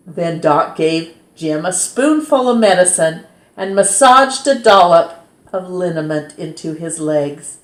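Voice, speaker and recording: A woman reads a children's story aloud as a storytime host, in an expressive, measured way that dramatizes key words. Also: medium pitch. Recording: roomy, echoing sound.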